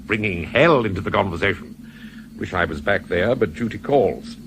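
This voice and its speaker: An actor playing an urbane, old-fashioned Satan in a radio play, politely fussy and matter-of-fact about the uncanny. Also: male voice, fairly low pitch, animated, normal speed, a bit bright. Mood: rueful, urbane. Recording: noisy 1970s radio drama recording.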